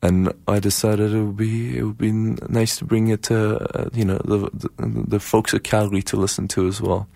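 A man's voice: fairly low pitch, level tone, normal speed, gravelly, few pauses, dark tone, no room sound. A young man from Iran co-hosting a campus radio show, talking casually with many fillers and restarts. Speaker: radio co-host, student union vice president.

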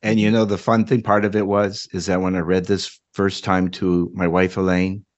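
A man's voice: deep voice, little pitch movement, moderate pace. Man storytelling, slightly dull audio. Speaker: podcast host reading his own story. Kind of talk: dramatic story narration and casual chat.

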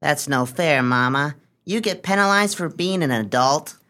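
A woman's voice: deep voice, lively intonation, moderate pace, a bit bright. Woman acting earnest, kindhearted little boy. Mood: indignant. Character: kind, earnest young boy. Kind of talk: comic radio play acting.

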